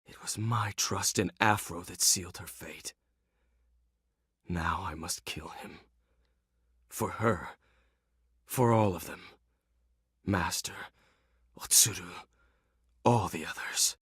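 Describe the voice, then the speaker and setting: A brooding video game samurai, grieving and set on revenge. The low voice is extremely hoarse and slow, with grim, terse phrases. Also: male voice, fairly bright tone, no room sound, studio quality, heavily acted. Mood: grim vengeance. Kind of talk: video game inner monologue.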